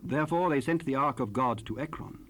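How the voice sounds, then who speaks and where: A man's voice: mid-range voice, fairly quick, fairly bright tone. A man narrating scripture in archaic English for a dramatized Bible recording. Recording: noisy raw cassette rip.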